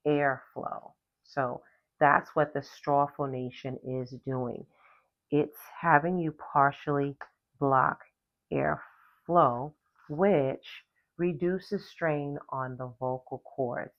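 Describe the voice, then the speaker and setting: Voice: medium pitch, vocal fry. A singer hosting a podcast, giving a casual lesson on vocal care. Talks emphatically, repeats key words and spells out terms. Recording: slightly dull lo-fi recording.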